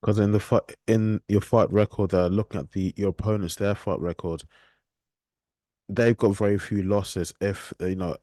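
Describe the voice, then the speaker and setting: A man hosting a podcast, asking interview questions in a relaxed, halting way. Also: on the low side, dry recording. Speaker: podcast host.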